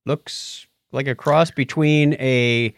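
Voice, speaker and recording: A man's voice: medium pitch, steady tone, slow, dry recording, studio quality. A man hosting a podcast in a casual style, with a wry, wisecracking manner. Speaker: podcast host.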